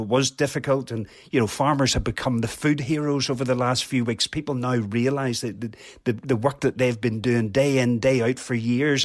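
A man's voice: mid-range voice, dry recording. An earnest man talking as a guest on a podcast, pressing his points with emphasis. He often restarts and repeats words mid-sentence. The sound is dry and close, with no room around it. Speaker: red meat industry guest. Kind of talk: podcast interview answers.

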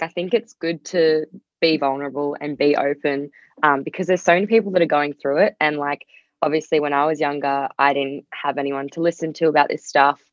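Young aussie woman on a podcast, lowish voice, chatty and open, bit muffled dry audio. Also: no echo. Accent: Australian. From Australia. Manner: candid, rambling with frequent "like". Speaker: podcast guest, singer-songwriter. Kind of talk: casual conversational interview.

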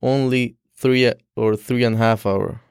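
A young man from Afghanistan whose first language is Dari Persian, speaking as a student guest in an interview, halting with frequent restarts and fillers. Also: medium pitch, fairly even intonation, vocal fry, darkish tone, clean tone, no echo, studio quality. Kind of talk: casual interview.

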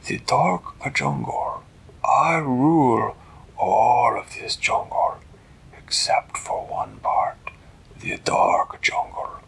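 A regal storybook cat king played by a man, speaking in a gravelly half-whisper. The words come deliberately and a little hesitantly, grave and courteous. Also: mid-range voice, animated, fairly bright tone, full character voice. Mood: grave, ominous.

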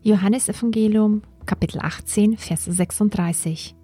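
A woman's voice: mid-range voice, normal speed, mellow, husky. A woman giving a scripted Bible reading as the scripture reader.

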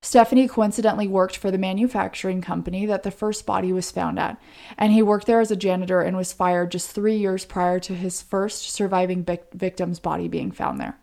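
A woman with a warm, rounded, relaxed tone co-hosts a true crime podcast. She lays out the case conversationally, as a story, explaining each step earnestly. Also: mid-range voice, brisk, gravelly.

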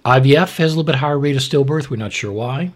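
A man with a mid-pitched, somewhat husky voice talks as a podcast host. He explains medical material conversationally and plainly, like an expert walking a listener through it. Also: quick pace. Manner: explanatory, plain-spoken, asks and answers own questions.

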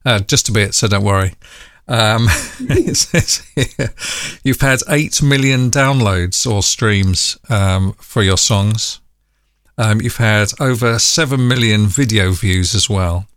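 A man with a North London accent asks questions in a friendly, halting way, often pausing on "um" and "uh". His voice sits at a middle pitch. The sound is dry and close, with little room around it. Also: fairly bright tone, no room sound. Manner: friendly, halting, lots of ums. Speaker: podcast host. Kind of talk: casual interview.

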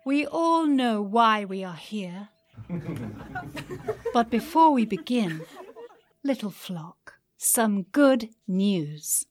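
An actress playing a serene, commanding cult high priestess in an audio drama, deliberate and ceremonial. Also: female voice, sing-song, heavily acted. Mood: serene, ominous.

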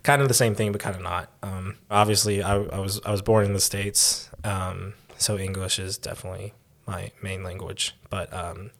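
Young adult man from Taiwan, low flat voice, halting interviewee. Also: vocal fry. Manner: hesitant, halting, soft laughs. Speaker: interview guest. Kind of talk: casual interview.

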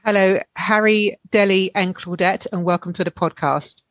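A woman's voice: medium pitch, steady tone, normal speed. A woman hosting a podcast, reading a formal introduction and politely asking her guests questions. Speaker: podcast host. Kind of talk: scripted introduction and interview questions.

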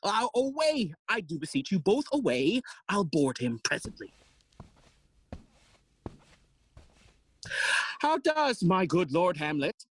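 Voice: mid-range voice, sing-song, moderate pace, husky, dry recording. An actor playing a fussy, long-winded old male counsellor in an audio play, theatrical and forever interrupting their own speech. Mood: puzzled, scheming. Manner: rambling, theatrical, self-interrupting. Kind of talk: Shakespearean stage acting in audio play.

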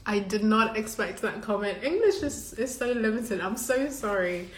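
A woman's voice: medium pitch, lively intonation, normal speed. A woman from Zimbabwe whose first language is Shona, giving a casual, earnest and emphatic motivational talk to a live online audience, swearing freely as a coach would among friends. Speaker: live-stream host and coach. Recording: echoey, reverberant room.

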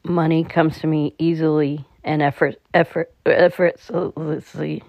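A meditation guide speaking in a calm, slightly halting way, leading a slow guided relaxation. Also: medium pitch, creaky, no echo. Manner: calm, halting delivery with stumbles. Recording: dull, muffled lo-fi recording.

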